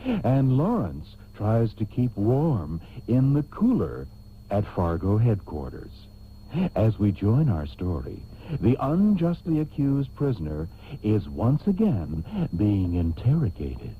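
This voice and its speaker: Pompous, hugely animated radio-serial host. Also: male voice, fairly low pitch, slow, slight background noise, full character voice. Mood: grandiose. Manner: grandiose, deadpan mock drama. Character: pompous, melodramatic male soap opera host. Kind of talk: mock radio serial announcing. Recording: tinny old radio tape.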